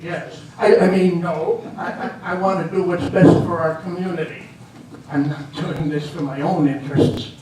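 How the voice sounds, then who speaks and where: Man acting a flustered, high-voiced politician in an echoey hall. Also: steady tone, moderate pace, warm tone, natural voice. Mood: flustered, evasive. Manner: flustered, sputtering, blustering. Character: defensive, self-important male American small-town politician seeking re-election. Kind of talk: amateur readers theater comedy acting. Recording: very echoey hall from far off, faint hiss.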